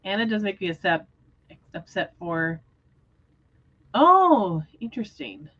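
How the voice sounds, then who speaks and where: A woman hosting a casual book discussion livestream, talking in an animated, expressive way. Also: mid-range voice, takes it slowly, dull audio. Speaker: host of book readalong livestream.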